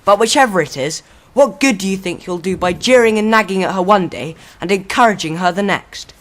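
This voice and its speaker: An actress playing a stern, protective, bossy older-brother schoolboy in a radio drama. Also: female voice, mid-range voice, brisk, lightly acted. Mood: indignant scolding.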